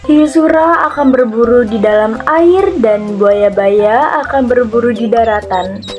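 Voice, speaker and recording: A woman's voice: a little high, unhurried, highs cut off, faint music behind. A young woman reading a scripted children's fable as narrator. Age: young adult. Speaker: student storyteller.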